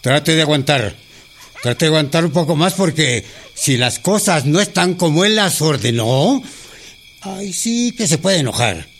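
A man's voice: a little high, animated, unhurried, a bit bright, a little hiss or hum. An actor with a Mexican accent playing a loyal, cautioning go-between who fears his boss, in a radio drama. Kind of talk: Spanish-language radio drama dialogue.

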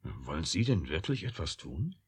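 Embittered male scientist, radio drama, husky voice, highly varied intonation, insistent, sardonic. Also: sing-song, normal speed, exaggerated voice. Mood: grave, insistent. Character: embittered, urgent, defiant male computer scientist. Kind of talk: German radio drama acting.

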